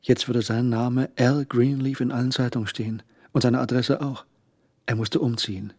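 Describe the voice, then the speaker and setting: A male narrator tells a crime story in a radio play. His voice sits at a medium pitch, with the delivery of scripted literary narration. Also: steady tone, normal speed, darkish tone, no echo, clean studio sound.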